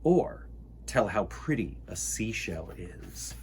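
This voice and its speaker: Animated, slow-paced man hosting children's storytime. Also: fairly low pitch, slight background noise. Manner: animated, theatrical, playful exclamations and gasps; addresses young listeners directly. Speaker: host of a children's storytime show. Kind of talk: children's picture-book read-aloud with playful chat.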